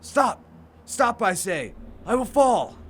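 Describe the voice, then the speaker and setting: An actor playing a crotchety, fearful old miser in an audio drama, with a querulous, sputtering way of talking. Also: male voice, high-pitched, sing-song, takes it slowly, exaggerated voice. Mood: alarmed. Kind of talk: audio theater acting.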